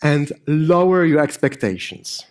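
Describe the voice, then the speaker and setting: Middle aged guy w french accent, slight polish tinge, voice a bit high, presenting on stage, hesitant n deadpan. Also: a little slow. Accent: French with a hint of Polish. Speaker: conference speaker, AI researcher and improviser. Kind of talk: informal stage presentation with dry humour. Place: on stage at a conference.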